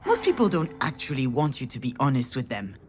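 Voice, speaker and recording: A woman's voice: very deep voice, very animated, a little fast, darkish tone, slight background noise. An actress voicing a sardonic, self-deprecating game heroine in her thirties, in a wry, conversational, confessional way. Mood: wry, uneasy. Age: 30s. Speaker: protagonist and narrator of a narrative game. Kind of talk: game voice acting, inner monologue and dialogue.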